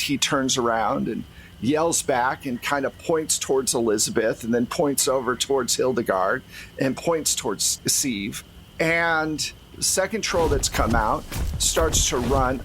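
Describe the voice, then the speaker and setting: A man's voice: mid-range voice, a little hiss or hum, low-quality recording. A man acting as game master, improvising narration for a tabletop role-playing game in a conversational, explanatory way.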